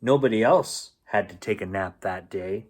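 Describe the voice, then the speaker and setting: A man reading a children's storybook aloud in an expressive, playful storytelling manner. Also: lowish voice, moderate pace. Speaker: bedtime story reader.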